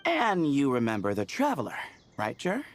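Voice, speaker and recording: An actor playing a breezy, teasing young adventurer in a video game, casual and playful. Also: male voice, medium pitch, huge pitch swings, moderate pace, a little hiss or hum. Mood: upbeat.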